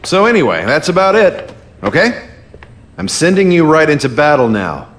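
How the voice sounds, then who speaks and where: Laid-back, lively male squad leader. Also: a little high, moderate pace, soft background music. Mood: brisk, casual. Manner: casual, offhand, joking. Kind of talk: video game cutscene voice acting.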